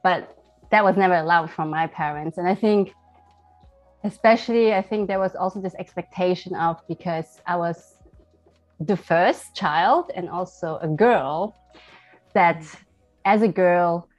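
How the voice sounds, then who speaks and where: A woman's voice: fairly low pitch, measured pace, muffled recording, soft background music. A young woman from Germany, a podcast guest talking openly and casually, peppering her speech with "like" and "I think". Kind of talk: casual personal interview.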